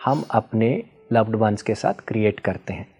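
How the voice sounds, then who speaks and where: A man's voice: fairly low pitch, animated, moderate pace, a little mellow, husky. A man as the interview guest, patiently explaining ideas and often checking in with the listener, mixing in English terms. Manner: patient teacherly explaining with frequent checking questions; mixes Hindi with English terms. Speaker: guest expert on ancestral healing.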